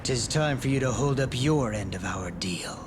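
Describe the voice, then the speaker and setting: A man playing a cold, brooding shadow knight in a fantasy game, with grim, measured delivery. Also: mid-range voice, very noisy, close to an everyday voice. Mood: defiant. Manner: deadpan threats, grim, measured. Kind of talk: dramatic fantasy game acting. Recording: game scene under loud music.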